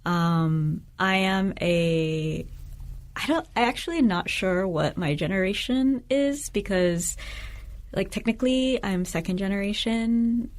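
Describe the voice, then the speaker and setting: A woman's voice: mid-range voice, a little slow. A woman raised in the Philippines and the United States, Tagalog her first language, speaking as a poet guest in a thoughtful, candid way. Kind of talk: conversational interview.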